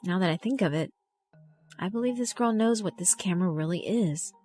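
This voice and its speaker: A woman's voice: medium pitch, brisk, a little mellow. A woman reading scripted first-person story narration for a visual novel. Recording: music behind the voice. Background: mallet and glockenspiel music.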